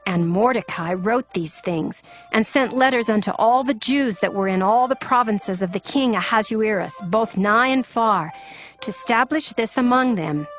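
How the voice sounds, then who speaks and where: A woman's voice: medium pitch, moderate pace. A woman narrating a dramatized scripture reading in a formal, solemn storytelling manner. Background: background music.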